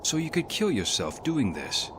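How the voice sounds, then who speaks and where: An actor playing a steady, protective warrior hero in a video game, terse and direct. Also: male voice, mid-range voice, moderate pace, noisy background. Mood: concerned. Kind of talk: video game character dialogue. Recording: music behind the voice.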